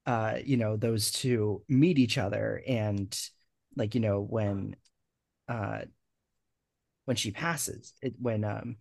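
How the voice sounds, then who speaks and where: A man's voice: fairly low pitch, fairly even intonation, slow pace, gravelly, darkish tone, dry recording, studio quality. A male podcast host talking about a film in a hesitant, trailing way with frequent ums. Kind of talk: casual podcast film discussion.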